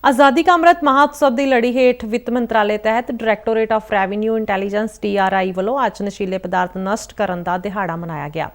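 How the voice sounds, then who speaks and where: A woman reading a regional television news bulletin in a steady, formal way. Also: medium pitch, normal speed, hardly any pauses. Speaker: news reader.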